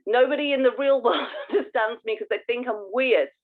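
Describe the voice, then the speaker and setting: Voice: medium pitch, moderate pace, narrow, tinny audio. A podcast co-host chatting casually and effusively, thinking aloud while talking.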